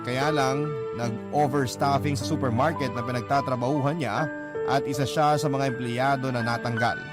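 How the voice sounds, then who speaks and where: Earnest male letter narrator over loud music. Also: mid-range voice, fairly even intonation. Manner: earnest, measured, storytelling. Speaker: narrator reading a listener's letter. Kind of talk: scripted radio-drama narration. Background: loud background music.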